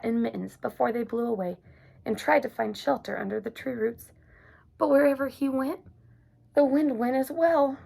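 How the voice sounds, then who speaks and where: A woman reading a children's picture book aloud, gentle and expressive. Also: a little high, creaky, husky. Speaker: storyteller. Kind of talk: children's story read-aloud.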